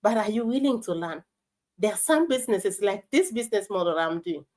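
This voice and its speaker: A woman's voice: medium pitch, animated, fairly quick, darkish tone. Woman giving an earnest motivational talk. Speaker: motivational business speaker.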